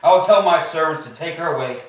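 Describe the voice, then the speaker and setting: A man's voice: on the high side, fast talker, close to an everyday voice. A man playing a stern, scornful wronged Greek king in a staged tragedy reading, imperious and declamatory. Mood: imperious, dismissive. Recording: very echoey hall, distant mic.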